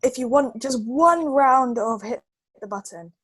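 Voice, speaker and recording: A woman's voice: sits high, expressive, unhurried, breathy edge. A woman tutoring a child one-on-one in an encouraging, reassuring way.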